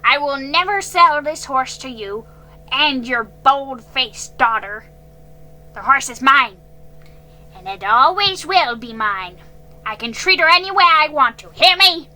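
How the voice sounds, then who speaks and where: A woman voicing a gruff, mean old man who shouts and snarls at a family, in a children's storybook reading. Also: high voice, expressive, unhurried, voice pushed up, heavily acted, poor audio. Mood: angry shouting. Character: gruff, mean old male horse owner. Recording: faint music behind.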